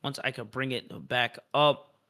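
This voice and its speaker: A man hosts an esports live stream in an easy, chatty way. His voice is bright and forceful, and he keeps the energy up by repeating words for emphasis. He sits at an ordinary, middle pitch. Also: flat delivery, unhurried. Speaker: esports league host.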